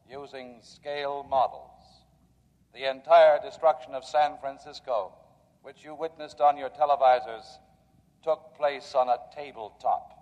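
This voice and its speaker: An actor playing a calm, coldly logical robot leader in a measured, formal 1950s radio drama style. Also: male voice, a little high, lightly acted. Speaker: robot council chairman. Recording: vintage radio, dull, long reverberant hall.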